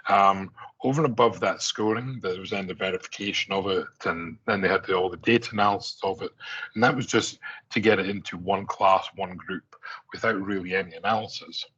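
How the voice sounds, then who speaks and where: A man's voice: deep voice, fairly quick, a bit bright, breathy edge, dull audio. A middle-aged man from Scotland with an Aberdeen accent, giving a conference presentation in a conversational way, with many fillers and restarts. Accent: Scottish, Aberdeen. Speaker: conference speaker.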